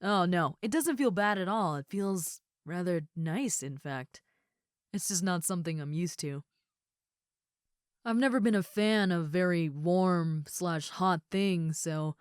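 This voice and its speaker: A woman's voice: lowish voice, unhurried, smooth, dry recording, studio quality, natural voice. A woman playing a muscular female ogre roommate in a roleplay audio, friendly, easygoing and caring, with a casual, chatty way of talking and hesitant asides. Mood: shy, tender. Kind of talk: roleplay audio acting, addressed to the listener.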